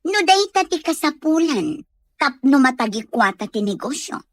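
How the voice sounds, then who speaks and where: A woman's voice: high-pitched, big pitch swings, moderate pace, dry recording, studio quality. A woman with a Filipino accent playing a conniving accomplice who eggs on a schemer in a radio drama. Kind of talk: Ilocano radio drama acting.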